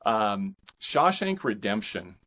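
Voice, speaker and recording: An American man in his forties chatting casually as an interview guest, thinking aloud in a halting, enthusiastic way. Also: on the low side, big pitch swings, a bit bright. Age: 40s.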